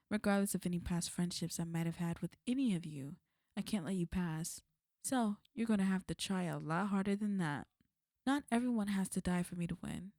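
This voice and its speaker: A woman playing a smug, menacing villain in an ASMR roleplay, taunting and threatening in a cool, unhurried way. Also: fairly low pitch, a little mellow, dry recording, studio quality, natural voice. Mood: cold, taunting. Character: smug, menacing female villain, fond of an enemy.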